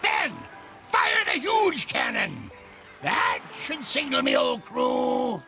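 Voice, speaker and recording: A blustering, menacing ghost pirate captain from a cartoon game. The voice is very high and gravelly, with a thick theatrical pirate accent. It bellows grandly over loud music. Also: male voice, wildly swooping pitch, slow pace, exaggerated voice. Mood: commanding, gloating.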